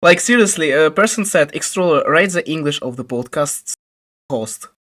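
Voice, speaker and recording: A man hosting a podcast who speaks English as a second language, reading out listener questions in a hesitant, halting way. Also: fairly high pitch, animated, fairly bright tone. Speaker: podcast host.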